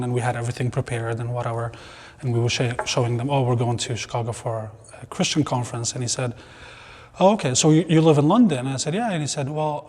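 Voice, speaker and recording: A man's voice: mid-range voice, a little mellow. A young man, a church member born in Syria, telling his own experiences in an interview in an earnest, reflective way, often restarting his sentences. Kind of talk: conversational interview. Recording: long reverb in a hall.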